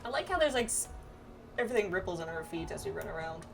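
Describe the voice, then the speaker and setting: A woman's voice: fairly low pitch, very animated, quick pace. A woman doing casual, reactive video game playthrough commentary and reading dialogue aloud. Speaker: gamer playing through a video game. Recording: distant mic under clear background music. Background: music.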